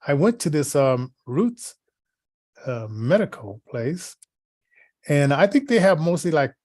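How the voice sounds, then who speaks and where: Higher-voiced man rambling, close dry sound. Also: big pitch swings, a little mellow, no room sound. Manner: halting, searching for words, repeats himself. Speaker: podcast co-host. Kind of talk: casual rambling chat.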